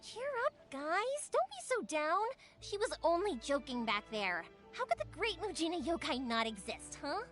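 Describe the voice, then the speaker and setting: Lively, high-voiced young fireworks maker. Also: female voice, moderate pace, faint music behind, full character voice. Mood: cheerful, encouraging. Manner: animated, coaxing, as if talking to children. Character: cheerful, encouraging young woman fireworks maker. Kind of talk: anime video game voice acting.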